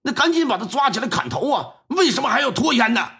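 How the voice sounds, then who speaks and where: A man doing a high, pleading grieving father. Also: very animated, normal speed, sharp tone, full character voice. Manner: whiny, pleading, agitated. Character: pleading grieving middle-aged blacksmith father.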